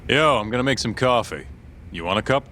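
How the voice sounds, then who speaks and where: An actor playing a gruff, loyal tough guy in a video game, talking in a blunt, slangy way. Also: medium pitch, lively intonation, brisk, pushed and bright, a little hiss or hum. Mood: casual, easygoing. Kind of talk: video game cutscene dialogue. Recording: music behind the voice.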